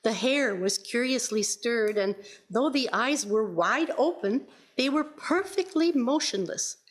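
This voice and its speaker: A woman serves as narrator in a radio play. She reads in a dramatic storybook manner, her voice sitting a little high. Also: lively intonation, a little slow.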